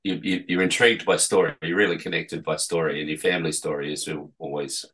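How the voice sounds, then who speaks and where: Interview guest: middle-aged Australian man, low register, informal, feels his way through sentences in trailing phrases. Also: little pitch movement, a little fast, fairly bright tone. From Perth, Western Australia. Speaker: interviewee, tour guide. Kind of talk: casual conversational interview.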